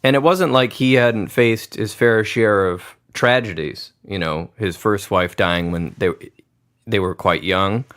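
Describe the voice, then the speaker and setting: A man's voice: lowish voice, unhurried, a bit bright. A man hosting a podcast, musing aloud in a thoughtful, reflective way. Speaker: podcast host, grandson of the pianist. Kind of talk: conversational interview.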